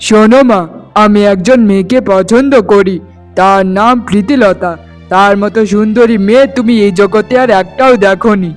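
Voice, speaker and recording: A man's voice: high-pitched, moderate pace, faint music behind, lightly acted. A man playing an earnest, stubborn young shepherd in love, speaking in a flowery, pleading way in a fairytale cartoon. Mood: insistent, lovestruck. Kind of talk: Bengali fairytale cartoon dialogue.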